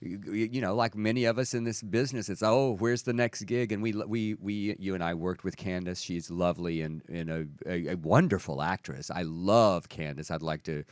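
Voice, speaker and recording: A man's voice: sits low, normal speed, few pauses, no echo. A man from South Texas co-hosting a casual talk show, chatty and enthusiastic, often restarting his sentences. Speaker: co-host. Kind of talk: casual chat.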